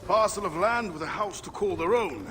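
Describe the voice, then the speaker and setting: A proud, fervent leader from an ancient Egyptian game drama. His voice sits high and swings widely, ringing in a long reverb over noisy ambience. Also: expressive, a little fast, music in the background. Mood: proud, devout. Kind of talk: dramatic video game acting.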